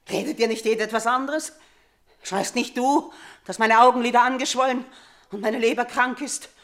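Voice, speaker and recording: An actress playing a tormented, superstitious middle-aged queen in a radio play, declaiming theatrically and swinging between hushed dread and outcry. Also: a little high. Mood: accusing, agitated. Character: tormented ageing mother and queen, haunted by nightmares, superstitious, imperious.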